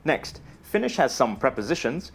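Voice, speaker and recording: A man giving scripted educational narration in a steady, explanatory delivery. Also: mid-range voice, normal speed, slightly rough. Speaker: narrator of a language explainer video. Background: faint music.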